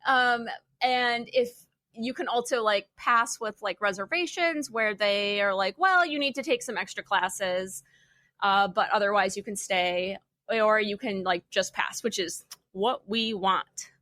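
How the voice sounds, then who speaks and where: A young adult woman chatting casually as a podcast panelist, telling things in an informal, wry and animated way. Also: mid-range voice, unhurried, no echo. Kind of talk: casual chat.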